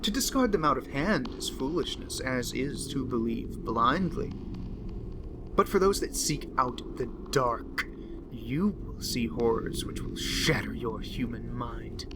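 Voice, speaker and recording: A man voicing a pompous, unhinged occult diarist who scorns humanity, in theatrical, deliberate horror narration that lingers on words. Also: mid-range voice, lively intonation, background noise, lightly acted. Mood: fervent, menacing. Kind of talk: dramatic horror story narration.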